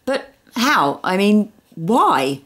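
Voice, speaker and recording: A woman's voice: medium pitch, very animated, extremely slow, a bit bright, slightly rough, voice pushed up, heavily acted. A woman voicing a laid-back father with a dry, offhand manner in an audiobook. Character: laid-back dad who enjoys a joke.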